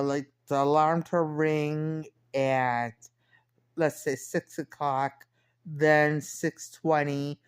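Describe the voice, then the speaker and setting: A woman's voice: low voice, clean tone. A woman in her fifties chatting casually in a rambling personal diary style, often repeating and correcting herself. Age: 50s. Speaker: personal vlogger.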